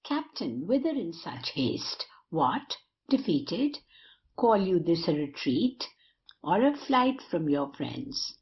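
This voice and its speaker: A woman's voice: fairly low pitch, sing-song, slow pace, exaggerated voice. A woman playing a challenging, scornful companion in a period comedy audio play. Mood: mocking. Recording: dull, lo-fi audio.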